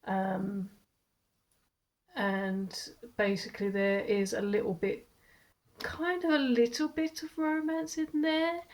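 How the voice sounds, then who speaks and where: A woman reviewing a book in a casual chat to camera at home, speaking with a stuffy nose from a cold, rambling and restarting her words with frequent laughs. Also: mid-range voice.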